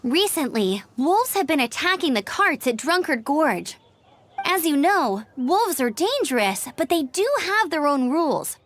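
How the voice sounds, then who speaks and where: A friendly young female scout knight from a video game. The voice is high and perky, with lively swings in pitch. A faint noise runs under it. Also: measured pace, heavily acted. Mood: earnest, explaining. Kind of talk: video game character voice acting.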